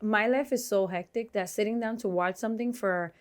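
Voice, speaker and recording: A woman's voice: mid-range voice, a little fast. Bantering woman co-hosting a casual podcast. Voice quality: softer voice than a loud speaker's. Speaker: podcast co-host. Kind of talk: casual chat.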